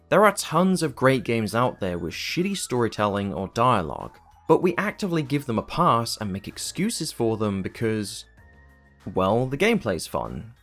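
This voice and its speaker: A man narrating his own scripted video essay in a wry, self-aware, conversational way. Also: medium pitch. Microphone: voice-over.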